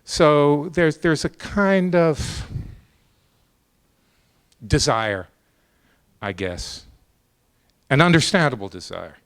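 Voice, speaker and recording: A man arguing a point as a professor in an academic discussion, deliberate and measured, in short separated phrases. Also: a little high, lively intonation, smooth. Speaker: professor on a debate panel. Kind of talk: extemporaneous academic argument.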